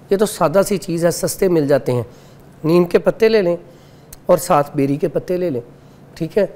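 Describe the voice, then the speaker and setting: A man's voice: sits high, warm tone. Earnest man listing home remedies. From Pakistan. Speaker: herbal remedy expert guest. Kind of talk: advice in studio interview.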